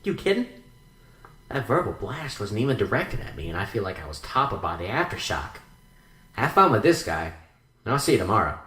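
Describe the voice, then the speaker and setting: Man acting a lively, flippant coroner. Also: fairly low pitch, fairly quick. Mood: jokey, uneasy. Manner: wisecracking, hesitant, lively. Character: flippant, jittery male coroner eager to leave. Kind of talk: radio drama acting. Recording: echoey room.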